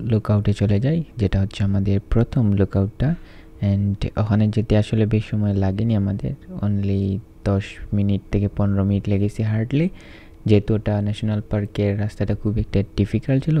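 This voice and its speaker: Young man vlogging outdoors, lowish voice. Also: dark tone, slight background noise. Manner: mixes Bengali with English phrases. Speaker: vlogger interviewing friends. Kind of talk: casual travel vlog narration. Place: outdoors at a national park.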